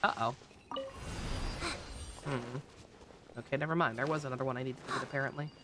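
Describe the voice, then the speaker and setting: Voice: medium pitch, fairly even intonation, brisk, noisy background. A video game streamer giving casual, joking gameplay commentary. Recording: game music behind the voice. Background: video game music.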